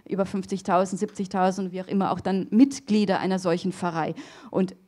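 A middle-aged woman giving an academic lecture in a hall on a handheld microphone, pausing often with fillers and restarts. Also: lowish voice, level tone, mellow. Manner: hesitant, many fillers and restarts. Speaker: theology lecturer.

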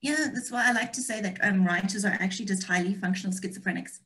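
A woman's voice: mid-range voice, fast, a bit bright. A woman, chatting casually and playfully as an interview guest over a video call from a room at home. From South Africa and Austria. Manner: wry, playful. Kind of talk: casual chat.

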